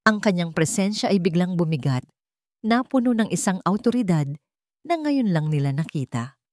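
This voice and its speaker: A woman's voice: fairly low pitch, big pitch swings, moderate pace, darkish tone, no echo. A woman reading a scripted drama story as its narrator, in a dramatic storytelling style.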